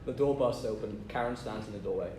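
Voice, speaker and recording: A man's voice: on the low side, fast, warm tone. A man narrating stage directions at a script table read. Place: echoey room, off-mic. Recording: echoey, noisy, distant microphone.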